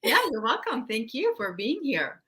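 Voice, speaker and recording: A woman hosts a relaxed online interview with a bright, clear tone. She speaks upbeat, asking questions with easy fillers. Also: on the high side, moderate pace. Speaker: host. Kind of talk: casual interview hosting.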